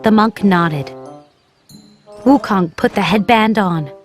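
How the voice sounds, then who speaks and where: A woman reads a children's tale as its narrator. Her mid-pitched voice is measured and expressive, shaped for young learners. Soft music plays underneath. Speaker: storyteller, narrator. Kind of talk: scripted children's story narration.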